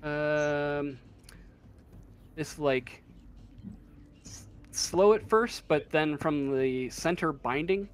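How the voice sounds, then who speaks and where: A man improvising narration as a tabletop game master, halting as he searches for words. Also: a little high, smooth. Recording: faint room noise.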